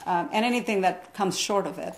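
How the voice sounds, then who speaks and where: A woman's voice: medium pitch, lively intonation. Articulate woman in a roomy studio. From India. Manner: articulate, measured and explanatory. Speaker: interview guest. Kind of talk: TV interview.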